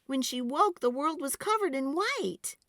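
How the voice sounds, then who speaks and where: High, animated woman reading a storybook. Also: dry recording. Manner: expressive, deliberate. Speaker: children's storytime reader. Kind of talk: dramatic scripted storybook narration.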